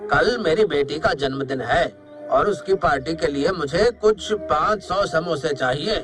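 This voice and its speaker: A man's voice: a little high, a little slow, music in the background, natural voice. A man playing a wealthy, genial merchant customer in a cartoon story, talking in an assured, friendly way. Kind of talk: Hindi cartoon story acting.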